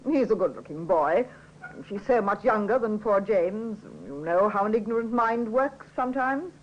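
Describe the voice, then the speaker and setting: Woman playing an insinuating, sharp-tongued cousin, animated pitch. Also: normal speed, natural voice. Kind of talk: 1950s radio drama acting. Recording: dull old radio recording.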